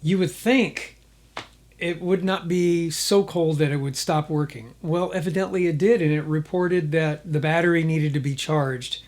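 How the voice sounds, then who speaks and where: A man's voice: on the high side, steady tone, measured pace, a little mellow. A man hosting a hobby vlog, chatting casually and thinking aloud as he explains things. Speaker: host of a radio hobby video.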